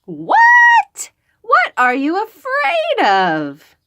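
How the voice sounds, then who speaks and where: An eager storybook parent acted out by a woman, encouraging and playful with a nervous little one. The voice is exclamatory and singsong, often leaping high and swooping widely. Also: wildly swooping pitch, drawn-out words, noisy background, full character voice. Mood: surprised.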